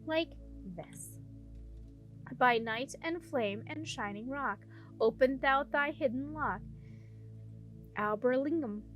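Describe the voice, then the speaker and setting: A woman's voice: sits high, slow pace, pauses often, faint music behind. A woman voicing a matter-of-fact female dragon in a storybook, a protective guide who instructs and reassures. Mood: instructive.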